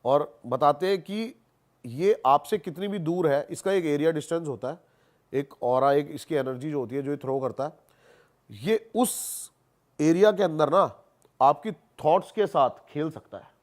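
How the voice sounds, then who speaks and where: Male podcast guest, slightly high voice, colloquial storyteller who repeats phrases for emphasis and drops in English words. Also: measured pace, darkish tone. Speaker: podcast guest, haunted-place investigator. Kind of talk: animated casual storytelling on a podcast.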